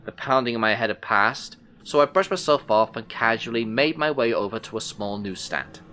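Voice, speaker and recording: Forceful, brooding detective narrator. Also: male voice, mid-range voice, lively intonation, moderate pace. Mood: uneasy, puzzled. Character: male missing-persons detective, intent, unsettled, recounting his case. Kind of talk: first-person noir audio drama narration.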